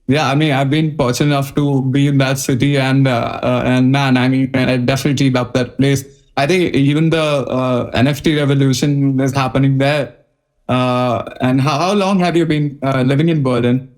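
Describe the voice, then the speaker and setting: A man hosting a casual interview podcast, speaking in halting, hesitant phrases with repetitions. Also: mid-range voice, steady tone, darkish tone. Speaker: podcast host.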